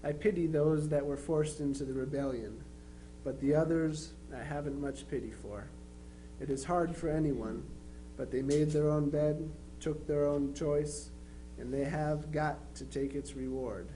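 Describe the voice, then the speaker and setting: A man playing a plainspoken twenty-three-year-old Union soldier, reading his affectionate letters home in an unhurried, matter-of-fact way. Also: on the high side, fairly even intonation, warm tone, lightly acted. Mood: reflective, matter-of-fact. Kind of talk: dramatized reading of historical letters. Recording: faintly noisy live recording with hum.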